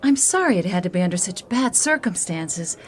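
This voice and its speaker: A woman's voice: lowish voice, big pitch swings, a little fast. A woman playing a polite, efficient bookkeeper and loyal boss's wife in a radio drama, courteous and precise. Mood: courteous, composed.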